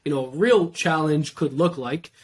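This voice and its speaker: A young man from Iran, a podcast guest, talking in a steady, reflective way. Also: on the high side, a little slow. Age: young adult. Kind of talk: casual interview talk.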